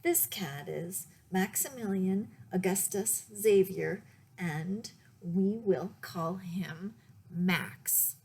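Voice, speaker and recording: A woman reading a picture book aloud for story time in a deliberate, expressive way, pausing to speak to her young listeners. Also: mid-range voice, slightly rough. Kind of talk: read-aloud children's storytime, with asides to listeners.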